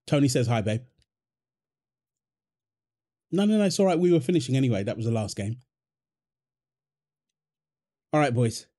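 A man's voice: mid-range voice, dark tone, lightly acted. A British man playing an affectionate, playful husband in an intimate, naturalistic audio roleplay, talking casually and teasingly in short repeated phrases. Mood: upbeat, joking. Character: affectionate, playful, apologetic husband, gamer with his mates.

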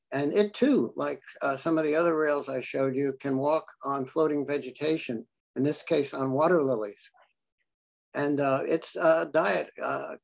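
An older man talks through a slide show, stopping often on ums and uhs as he explains each picture. His voice sits a bit high for a man and has a gravelly, creaky edge. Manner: halting, many fillers. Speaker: birding presenter. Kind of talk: slide talk to a club.

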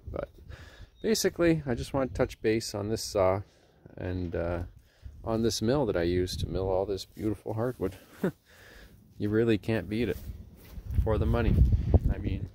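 Low-voiced man chatting in a backyard. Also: a little hiss or hum. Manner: relaxed and chatty, with chuckles and fillers. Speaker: homesteading vlogger. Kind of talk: casual outdoor product review. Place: backyard outdoors by a house.

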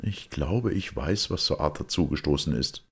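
A man voicing a gloomy, anxious young secretary in an audiobook, troubled and hesitant, with a husky voice. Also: very deep voice, lively intonation, normal speed, a bit bright, full character voice. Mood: troubled confiding.

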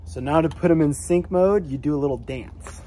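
A man's voice: a little high, normal speed, mellow, slight background noise. A man in his thirties giving a casual how-to talk to camera outdoors. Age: 30s. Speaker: motorcycle vlogger explaining gear. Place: outdoors, driveway and forest trailside.

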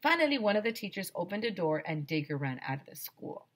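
Low-pitched woman reading aloud. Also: sing-song, quick pace. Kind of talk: expressive children's storybook read-aloud. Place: small decorated room indoors. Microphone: headset.

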